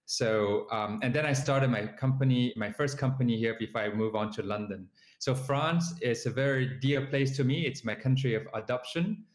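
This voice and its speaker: A man's voice: medium pitch, moderate pace, hardly any pauses. Chinese-accented man, hesitant entrepreneur. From southern China. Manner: hesitant, many fillers. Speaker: entrepreneur guest. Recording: roomy sound.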